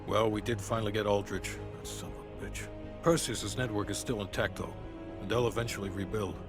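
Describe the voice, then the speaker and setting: An actor playing a hard-nosed, businesslike intelligence officer giving a matter-of-fact debrief in a video game. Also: male voice, lowish voice, fairly even intonation, brisk, lightly acted. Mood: gruff satisfaction. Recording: music under voice. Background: music.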